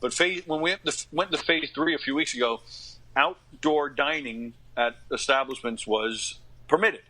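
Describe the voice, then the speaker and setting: Bright-voiced middle-aged New man telling stories. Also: on the high side, moderate pace, low-quality recording. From New York area, USA. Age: late 40s to 50s. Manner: animated retelling with acted-out quotes and frequent throat-clearing. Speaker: podcast guest, actor and stand-up comic. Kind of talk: casual anecdotal storytelling.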